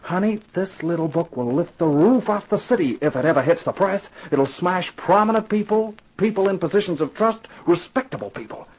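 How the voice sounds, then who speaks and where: An actor playing a tough, weary private detective with an American accent and slangy, cynical delivery in a 1950s radio drama. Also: male voice, high voice, expressive, fairly quick, few pauses, slightly rough, exaggerated voice, rough recording. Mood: excited. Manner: slangy, hardboiled. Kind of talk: 1950s radio drama acting with first-person narration. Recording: old 1950s radio recording.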